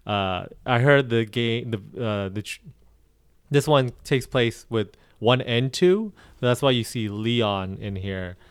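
A man's voice: mid-range voice, animated, slow pace, clean tone, no echo. A man co-hosting a casual podcast, talking in rambling runs with frequent ums and restarts, recorded close on a podcast mic. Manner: rambling, self-correcting, many ums. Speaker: podcast co-host. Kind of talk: casual chat.

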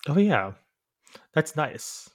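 A man's voice: fairly high pitch, sing-song, extremely slow, no room sound. Friendly male host on a dry mic. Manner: friendly, laughs often, stammers a little. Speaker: podcast host. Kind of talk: casual interview chat.

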